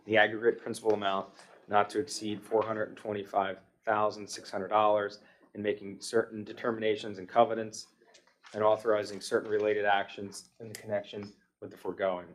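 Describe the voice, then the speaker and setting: An American man chairing a township meeting, formally reading out long ordinances and motions in a procedural way. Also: on the low side. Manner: procedural, reading long legal text aloud. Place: township public meeting room.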